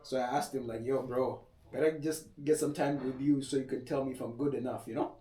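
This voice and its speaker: A young man from Kenya talks in an easy, slangy, unhurried way, like a tattoo artist chatting with a friend. He sits back from the microphone, so his voice sounds distant and picks up the room's echo. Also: mid-range voice, fairly even intonation, warm tone. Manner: laid-back, slangy, laughs often. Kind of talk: casual podcast chat. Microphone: podcast mic set back. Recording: echoey room, voice off-mic.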